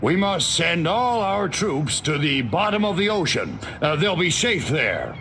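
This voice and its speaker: A haughty, sardonic alien invader from a video game. The delivery is drawn-out and menacing, with big swings in pitch. Clear outdoor game noise sits behind the voice. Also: male voice, very animated, bright, forceful tone, heavily acted. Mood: dismissive, sly. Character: arrogant male alien invader posing as a human, contemptuous and sardonic.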